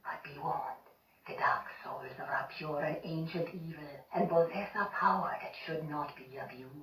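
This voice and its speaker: Video game cast: a lowish-voiced Jamaican voodoo priestess, grave and ominous, heard distant in an echoey mix. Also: female voice, normal speed, fairly bright tone. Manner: solemn, ominous warnings. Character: wise stern female voodoo priestess.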